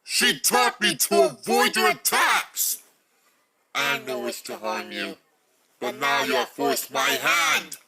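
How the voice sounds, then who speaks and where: A man acts out a wronged, melodramatic cartoon character. The voice is high and gravelly, with a slow, wildly swinging delivery. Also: huge pitch swings, over a noisy crowd. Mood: angry, dramatic. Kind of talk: amateur cartoon voice acting.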